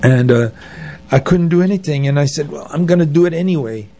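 An older man telling anecdotes as an interview guest, in a halting, conversational way. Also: fairly high pitch, mellow. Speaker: interview guest, arts organizer. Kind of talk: conversational reminiscing.

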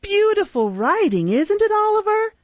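A woman reading an audiobook, voicing a boisterous teenage boy pickpocket who crows and mocks in a shrill voice, on a dull, dry recording. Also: sing-song, moderate pace, no room sound. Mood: mocking. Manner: shrill, gleeful, crowing.

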